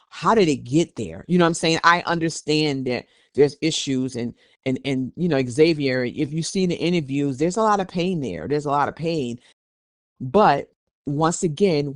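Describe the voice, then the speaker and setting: A man's voice: on the high side, no echo, clean studio sound. A man with an American accent hosting a podcast, giving opinionated conversational commentary in an emphatic, admonishing way and repeating phrases for stress. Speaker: podcast host.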